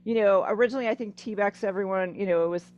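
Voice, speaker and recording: A woman's voice: mid-range voice, racing, darkish tone, lo-fi sound. A woman hosting a casual interview over a video call, chatty and full of "I mean" and "you know". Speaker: podcast host.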